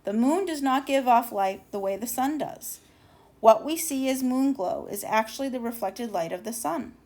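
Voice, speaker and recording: A woman reading a children's storybook aloud, expressively, with deliberate dramatic emphasis and the odd stumble over words. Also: fairly high pitch, lively intonation, darkish tone.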